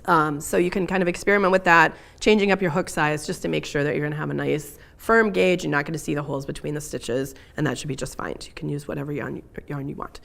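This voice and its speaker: A middle-aged woman leads a live crochet lesson, her voice hoarse and raspy from a recent illness. She sits a little low in pitch and talks in a loose, chatty way, full of ums and restarts. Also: fairly even intonation, fast talker, few pauses, fairly bright tone. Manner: chatty, with frequent ums and self-corrections. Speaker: crochet instructor. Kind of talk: live craft tutorial.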